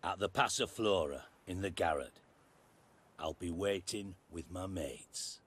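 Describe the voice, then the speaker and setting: Game role: scheming middle-aged British spy chief, deep register, unhurried menace, rhetorical bite. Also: male voice, a bit bright, slightly rough, heavily acted. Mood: persuasive, measured. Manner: deliberate, sardonic, rhetorical. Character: cunning, blunt middle-aged male spymaster, menacing. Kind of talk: video game cutscene dialogue.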